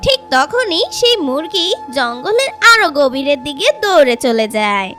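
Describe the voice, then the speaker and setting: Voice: high voice, sing-song, moderate pace, bright, forceful tone, soft background music. A narrator reading a scripted children's moral story in a childlike storytelling voice.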